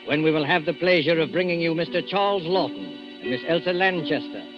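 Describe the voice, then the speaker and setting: A man narrating and announcing a suspense series in formal, dramatic, deliberate 1940s radio style. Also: on the high side. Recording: dull 1940s radio recording over music.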